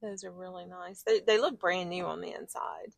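Female travel vlogger, folksy and appreciative, casual tour chat, gravelly fry, close dry sound. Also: fairly low pitch, fairly even intonation, moderate pace, no echo. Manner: folksy, appreciative, colloquial y'all.

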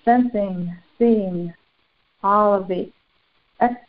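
A woman leading a guided spiritual meditation in solemn, formal, deliberate phrases with long pauses. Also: medium pitch. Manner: solemn, formal, ceremonial wording. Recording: muffled, phone-like narrow band.

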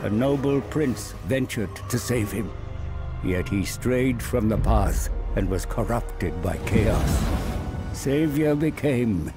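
An actor playing a weary, scheming old scholar in a game cinematic, grave, theatrical and deliberate. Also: male voice, medium pitch. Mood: grave, ominous. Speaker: narrator and advisor character. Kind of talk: dramatic game cinematic narration.

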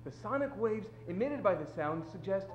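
A man's voice: sits high, expressive, moderate pace, over music. A young man playing an eager, earnest detective sidekick in old-time radio comedy, making precise deductions. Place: theatre stage. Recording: echoey, dull stage recording.

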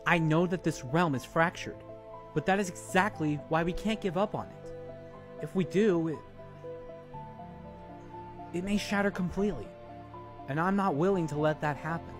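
Rousing earnest hero, higher male register, dramatic, acted by a man. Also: normal speed, long pauses, over music, natural voice. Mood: pleading. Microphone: close handheld microphone.

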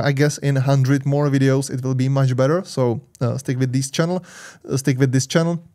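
A man with a Czech accent talks through a software tool on his channel, loose and rambling, full of "uh" and "et cetera." English is not his first language, and he speaks at an ordinary middle pitch into a big studio mic. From Czech Republic. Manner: loose and rambling, many fillers and repeated "et cetera". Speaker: tech channel host. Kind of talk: screen-share software walkthrough. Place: home studio with purple lights. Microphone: large studio mic on arm.

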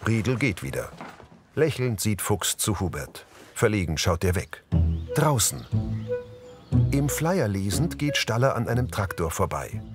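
A male narrator describes the action of a screen drama in scripted present-tense sentences. His voice is low and moves with lively intonation, with music behind it. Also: a little slow, long pauses.

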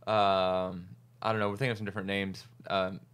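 Laid-back man in his thirties, lowish voice. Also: level tone, a little fast, a bit bright, clean tone. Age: 30s. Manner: easygoing, laughing, trailing off with fillers. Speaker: podcast host, restaurant owner. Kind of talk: casual chat. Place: small studio office with monitors. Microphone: large studio mic on boom arm.

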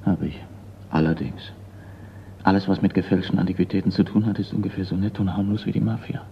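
An actor playing a blunt, sardonic, wary forgery expert in a radio crime drama. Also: male voice, low-pitched, fairly even intonation, fast talker, gravelly. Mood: uneasy. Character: gruff, wary museum craftsman and forgery expert, a man. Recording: dull, noisy radio play recording.

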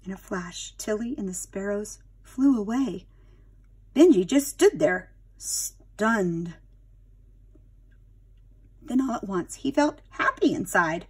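A woman's voice: mid-range voice, expressive, takes it slowly, long pauses, a little mellow, slightly rough. A woman narrating a children's storybook expressively, dramatic and addressing young listeners like a teacher.

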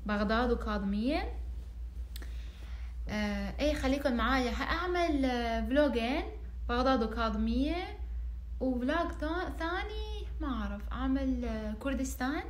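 A young woman in her twenties from Iran, Persian-speaking from birth, chatting casually to camera in a small room with lively hand gestures. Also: a little high, reverberant, slight background noise. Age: 20s. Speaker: vlogger. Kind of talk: casual vlog chat to camera. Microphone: phone camera at arm's length.

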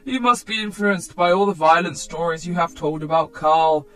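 A woman's voice: fairly low pitch, moderate pace, a bit bright, faint music behind, close to an everyday voice. A woman voicing a disapproving older parent, reproachful and pleading, in a story. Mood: scolding.

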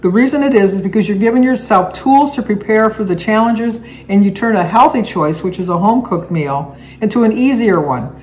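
A woman's voice: mid-range voice, darkish tone, slight background noise, poor audio. Chatty older woman vlogging from home. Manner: chatty, rambling with restarts and fillers. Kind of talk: casual chat. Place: living room at home, indoors. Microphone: camera on a table, seated facing it.

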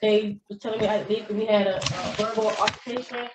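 A woman's voice: mid-range voice, steady tone, fairly quick. A woman speaking as a defendant, polite and hesitant as she explains herself. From Georgia, US. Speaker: defendant at hearing. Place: jail room, standing far from camera. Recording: echoey noisy jail room, distant mic.

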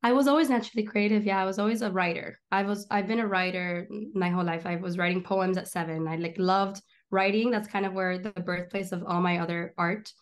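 A young woman in her twenties, born in Miami and raised in Argentina, telling her story as a guest in a casual interview, animated and fast, recorded by a laptop webcam in a small room at home. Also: mid-range voice. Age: 20s. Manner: animated, gestures a lot, rapid run-on storytelling. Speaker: guest, comedian and filmmaker. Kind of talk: casual video-call interview. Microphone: laptop webcam on a video call.

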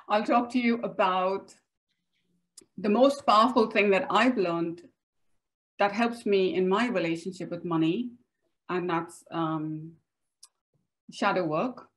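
A middle-aged woman from India with an Indian accent, speaking informally as a co-presenter on a video call. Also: medium pitch. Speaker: financial advisor, webinar co-presenter. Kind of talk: informal spoken self-introduction to a group. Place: home room with bookshelves, indoors.

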